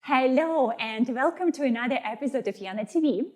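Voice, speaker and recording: A woman's voice: sits high, lively intonation, fairly quick, over loud music. A woman hosting a studio interview, asking curious follow-up questions. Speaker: host.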